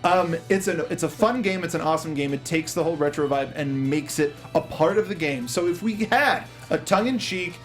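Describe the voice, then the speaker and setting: A man's voice: fairly high pitch, fairly quick, few pauses. A man hosting a casual panel review show in an enthusiastic way. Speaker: host of a movie review show. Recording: music playing under the talk. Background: music.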